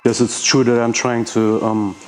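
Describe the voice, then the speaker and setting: A man's voice: mid-range voice, little pitch movement, moderate pace, a little mellow, soft background music, low-quality recording. A man from Iran whose first language is Persian, a rapper answering interview questions in a hesitant way, with many fillers and restarts.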